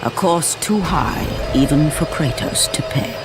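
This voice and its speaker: A woman playing a grave, knowing storyteller, narrating a video game myth in a measured, portentous manner. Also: sits low, expressive, very noisy. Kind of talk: dramatic scripted game narration.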